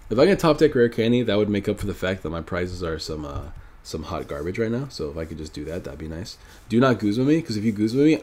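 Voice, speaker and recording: A man's voice: low voice, a little mellow, husky. A man with a stuffy, nasal voice from a cold, casually thinking aloud while commenting on his own card game match. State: sick, stuffy nose. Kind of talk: live gameplay commentary.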